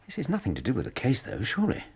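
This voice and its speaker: An earnest loyal doctor companion. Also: male voice, medium pitch, wildly swooping pitch, racing, gravelly, a little mellow, husky, full character voice. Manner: earnest, chatty, plain-spoken. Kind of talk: radio drama acting.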